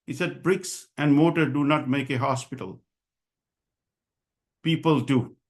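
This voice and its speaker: A man's voice: medium pitch, steady tone, measured pace. Earnest man making a formal, insistent address. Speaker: physician and association president giving a public address. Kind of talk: formal advocacy speech to a board.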